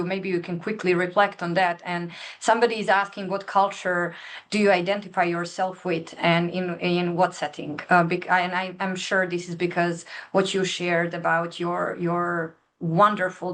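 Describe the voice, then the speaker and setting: A woman moderating a webinar panel over a video call, gracious and quick to thank her guests. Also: lowish voice, fairly bright tone.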